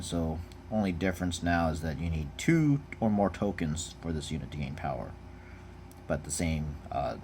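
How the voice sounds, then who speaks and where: Noticeable background noise surrounds a low-voiced man making a casual unboxing video. He speaks in a laid-back, off-the-cuff way, like a hobbyist looking over cards and reading them out. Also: a little mellow. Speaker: card game unboxing vlogger. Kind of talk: casual off-the-cuff card review.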